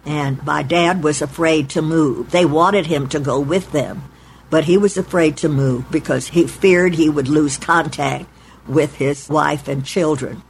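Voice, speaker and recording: A woman in her eighties from Oklahoma, recalling her family's past in a measured, deliberate way in her apartment. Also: deep voice, a little hiss or hum, poor audio. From Oklahoma, United States. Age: 80s. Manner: measured, deliberate, spells out names letter by letter. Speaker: oral history interviewee. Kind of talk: recorded oral history recollection. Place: her apartment in a retirement home.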